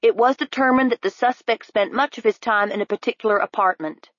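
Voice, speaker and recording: A woman voicing a crisp, efficient female court officer giving a formal report. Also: fairly high pitch, a bit bright, no room sound, dull audio, pitched above the performer's own voice. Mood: matter-of-fact. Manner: brisk, formal report delivery.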